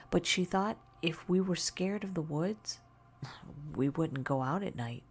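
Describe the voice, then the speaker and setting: Woman, deep-pitched, gravelly fry, hushed ASMR horror narration, gentle, drifting into whispers. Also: a little hiss or hum. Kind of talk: soft-spoken ASMR scary story narration.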